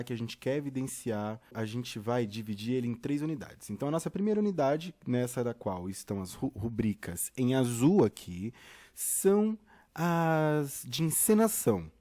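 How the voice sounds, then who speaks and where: A man's voice: medium pitch, mellow. A Brazilian man giving an informal tutorial, talking straight to the viewer in a theatrical, conversational way. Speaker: theatre teacher and podcast host.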